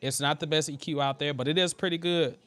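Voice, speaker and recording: A man's voice: on the high side, steady tone, fast, fairly bright tone, no echo. A man with a thin voice hosting a casual live tutorial on vocal mixing, loosely chatting with viewers as he works. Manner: loose and chatty, full of fillers and asides to the chat. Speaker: host teaching vocal mixing.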